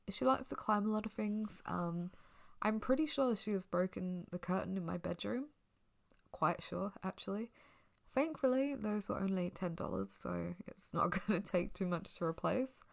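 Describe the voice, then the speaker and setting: A young woman from Australia rambling casually for a sleep audio, slightly croaky from hay fever, drifting into whispers. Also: fairly low pitch. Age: young adult. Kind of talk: soft sleepy rambling chat.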